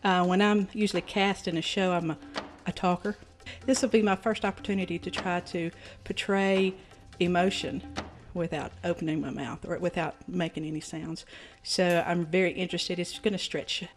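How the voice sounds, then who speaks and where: A woman's voice: lowish voice, steady tone, normal speed. A woman who acts in community theater, talking in an interview. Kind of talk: interview talk.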